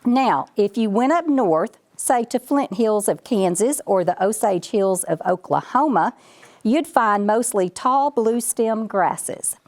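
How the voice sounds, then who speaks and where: A woman's voice: mid-range voice, expressive, takes it slowly, a little mellow. A woman voicing a boastful, folksy male ranch dog in a children's storybook, bragging and chatting straight to the listener. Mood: folksy explaining. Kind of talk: children's storybook read-aloud.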